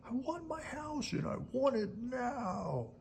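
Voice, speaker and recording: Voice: medium pitch, big pitch swings, takes it slowly, gravelly, a little mellow, slight background noise, pitched above the performer's own voice, exaggerated voice. A storyteller voicing an old male wolf from a picture book, homesick, whiny and prone to tantrums, often half-whispered. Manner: pleading and plaintive.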